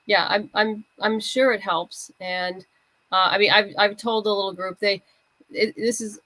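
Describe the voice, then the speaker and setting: A woman's voice: medium pitch, fairly even intonation, unhurried, pushed and bright, no room sound, rough recording. A woman writer chatting as an interview guest, candid and conversational, explaining things in loose fragments. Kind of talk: casual interview chat.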